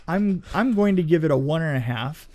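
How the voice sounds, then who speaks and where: Higher-pitched man telling film-trade stories. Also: expressive, measured pace, warm tone. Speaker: podcast co-host and film production designer. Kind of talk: casual movie review chat and anecdotes.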